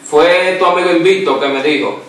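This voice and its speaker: A young man making a prank phone call from a couch, halting and repeating himself, holding back laughs. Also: fairly high pitch, fairly bright tone. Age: young adult. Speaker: prank caller. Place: small living room, seated on a couch. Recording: echoey room, far from the mic.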